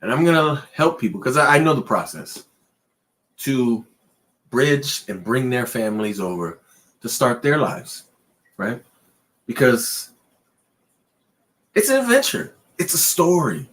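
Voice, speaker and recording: A man co-hosting a casual late-night chat show, talking in a loose, rambling way and pushing his pitch up and down for emphasis. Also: big pitch swings, a little slow, long pauses, a bit bright. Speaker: podcast co-host.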